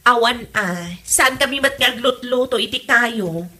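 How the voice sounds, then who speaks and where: Casting: actress as excuse-making young Ilocano wife, sharp high voice, defensive, radio comedy. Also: female voice, measured pace. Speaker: actor in a comedy drama.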